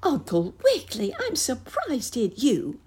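Squeaky storybook mouse schoolmistress, read by a woman, prim and proper, voice up high. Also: huge pitch swings, a little slow, a little mellow, slightly rough, full character voice. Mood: surprised.

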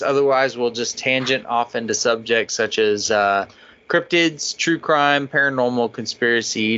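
A man with a bright, forward voice reads listener mail on a casual podcast, loose and chatty. The audio is dull and lo-fi. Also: medium pitch, slight background noise. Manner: laughs often while reading, loose and chatty. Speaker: podcast co-host reading listener feedback. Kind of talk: casual podcast chat and email reading.

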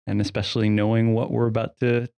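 Low, hesitant man with a gravelly voice. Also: little pitch movement, fast, no room sound, studio quality. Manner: soft and hesitant, full of fillers. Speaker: podcast guest. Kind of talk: casual group podcast chat.

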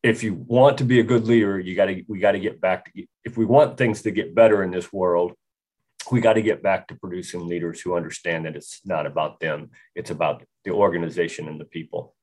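A very deep-voiced American man in his sixties talks like the retired officer he is. He is firm and earnest, driving his points home by repeating them as a podcast guest. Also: fairly even intonation, a little fast, darkish tone. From United States. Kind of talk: podcast interview.

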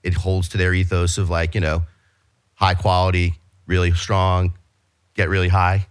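A man's voice: low-pitched, completely flat delivery, normal speed, clear, resonant tone. A man with a New York accent, a business guest talking in a casual interview, eagerly explaining his company with many restarts and fillers.

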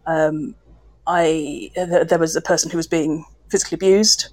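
A woman speaks in a slightly low-pitched voice as part of a panel discussion. She is a composer and sound designer explaining her craft. Her manner is reflective and hesitant, often searching for the right phrase. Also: steady tone, moderate pace, darkish tone.

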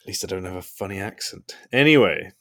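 A very deep-voiced man co-hosting a podcast. He speaks in a relaxed, casual way with a dry, teasing edge to his remarks. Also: quick pace. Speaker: podcast co-host. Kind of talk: casual chat.

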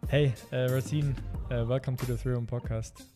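A man's voice: mid-range voice, steady tone, a little fast, music in the background. A man hosting a podcast interview, enthusiastic and upbeat with frequent fillers. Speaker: podcast host.

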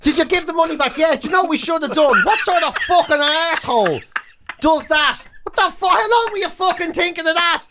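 A middle-aged Irish man with a notably high-pitched voice chats as a comedy podcast co-host. He is emphatic and exasperated, swearing freely as he rants. There is some noise behind him. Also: brisk, bright, forceful tone. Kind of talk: bantering comic rant, casual chat.

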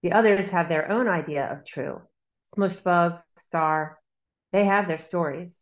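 A woman reading a literary novel aloud as a bold, bossy girl narrator, in measured, declarative sentences. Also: on the low side, dull audio, natural voice. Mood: bold, matter-of-fact.

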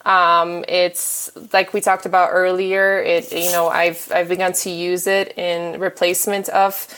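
A woman chatting frankly and casually as a guest, pausing often with fillers and restarts. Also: fairly low pitch, level tone, fairly bright tone, slight background noise. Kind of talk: casual chat.

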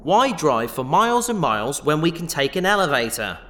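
Sci-fi audio drama: actor as easygoing male treasure hunter, bright and animated, glib delivery, faint noise behind. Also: mid-range voice, normal speed. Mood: glib, defensive. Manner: glib, casual, self-justifying. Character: easygoing, fast-talking, apologetic space treasure hunter, glib schemer.

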